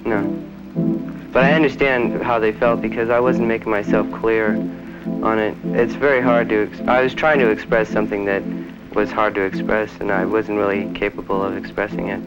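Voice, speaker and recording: Soft-spoken man on old archival tape. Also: fairly low pitch, level tone, moderate pace, loud music behind the voice, rough recording. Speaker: interviewee.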